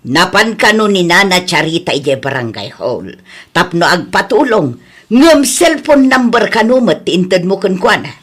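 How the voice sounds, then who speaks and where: A woman's voice: lowish voice, very animated, a little slow, a bit bright, husky, full character voice. A woman with an Ilocano accent playing an outspoken, scolding village woman, blunt and firm, in an audio drama. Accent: Ilocano, northern Philippines. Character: scolding, outspoken village woman who stands her ground.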